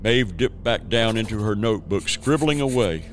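A man's voice: lowish voice, expressive, normal speed, a little hiss or hum. A man narrating a scripted radio drama as its storyteller.

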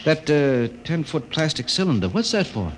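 An actor playing a confident, inquisitive American reporter in an old-time radio drama, casual and plain-spoken. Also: male voice, a little high, very animated, moderate pace. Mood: curious, sceptical. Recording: noisy vintage radio recording.

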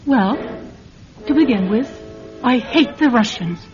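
A woman's voice: on the high side, animated, unhurried. An actress playing a proud, emotional woman drawn into espionage in a radio drama, speaking with earnest, wounded intensity. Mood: earnest, bitter. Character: proud, emotional young woman volunteering as a spy. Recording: dull radio recording with faint music. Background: faint music.

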